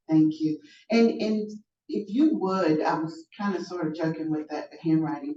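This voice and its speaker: A woman's voice: fairly low pitch, warm tone, a little hiss or hum. Facilitator woman in an echoey hall. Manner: friendly, organized, talks to the room. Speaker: workshop facilitator. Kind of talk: leading a group discussion. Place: large conference room. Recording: echoey, distant and dull room recording.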